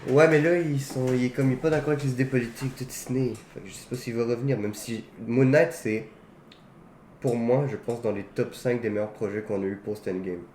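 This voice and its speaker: A man's voice: medium pitch, steady tone, fairly quick, darkish tone. A man co-hosting a casual talk show about films. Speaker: co-host of a film talk show. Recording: roomy echo, faint background noise.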